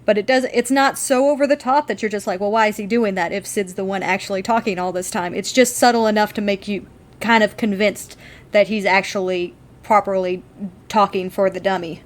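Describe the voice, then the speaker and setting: A woman's voice: medium pitch, steady tone, brisk. A woman co-hosting a podcast, discussing a TV show in a casual, enthusiastic, conversational way. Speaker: podcast co-host.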